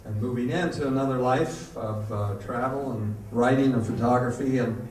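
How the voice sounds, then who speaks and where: A man's voice: medium pitch, moderate pace, a little mellow. Older American man announcing from a roomy stage. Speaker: announcer and Foley artist. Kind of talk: live stage announcing and farewell remarks to an audience. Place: indoor venue before a live audience. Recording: roomy live stage, distant mic, audience noise.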